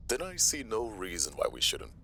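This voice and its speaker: Casting: menacing crime villain for audio roleplay, gravelly fry, theatrical mocking politeness, faint noise underneath. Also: male voice, on the low side, huge pitch swings, sharp tone, exaggerated voice. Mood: smug challenge. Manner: theatrical, slow menacing taunts with polite mockery. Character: menacing, gloating male mobster villain, taunting his brother.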